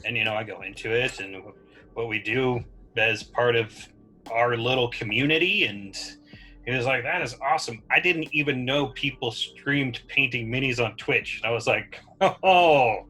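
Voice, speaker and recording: A man speaking as a guest in a casual video chat, calm and unhurried. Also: mid-range voice, faint music behind, poor audio.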